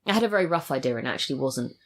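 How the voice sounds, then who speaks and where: A woman's voice: rumbling low, animated, rapid-fire. A woman chatting casually as an interview guest, thoughtful and correcting herself as she talks. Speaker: podcast guest, audio drama writer.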